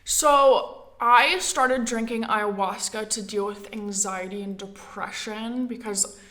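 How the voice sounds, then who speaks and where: A woman's voice: medium pitch, slow, fairly bright tone. A woman hosting an interview, asking curious questions in a chatty, friendly way. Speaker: podcast host. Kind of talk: casual interview conversation.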